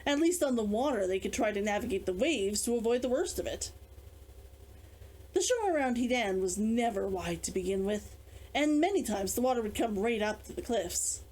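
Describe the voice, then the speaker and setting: A woman reading a fantasy novel aloud as its author, with expressive storytelling. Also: a little high, moderate pace, low-quality recording. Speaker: author reading own book.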